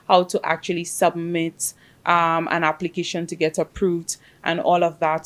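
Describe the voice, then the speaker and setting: A woman from the Caribbean whose first language is Spanish, chatting as an interview guest and telling her story with many "um" pauses. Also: sits low. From Caribbean, grew up in Grenada. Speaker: guest, fintech project manager. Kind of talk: casual interview chat.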